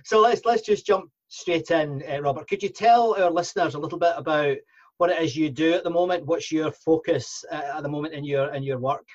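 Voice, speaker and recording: A polite, eager Scotsman with a fairly high voice, welcoming a guest to his podcast over slightly muffled audio. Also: fairly quick. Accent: Scottish. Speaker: podcast interviewer. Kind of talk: conversational interview.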